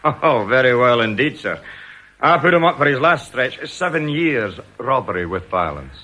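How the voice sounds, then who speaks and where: An older actor playing a sardonic retired police detective in a radio drama, with a jovially menacing, mocking manner. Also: male voice, mid-range voice, very animated, moderate pace, sharp tone. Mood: wry, suspicious. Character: sardonic retired police detective, now company security officer.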